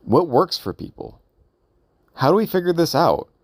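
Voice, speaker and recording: Halting man musing aloud, dry sound. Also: on the high side, big pitch swings, no echo. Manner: hesitant, halting, rambling with repeated questions. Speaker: vlogger recording an audio log. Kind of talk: casual unscripted monologue, musing aloud.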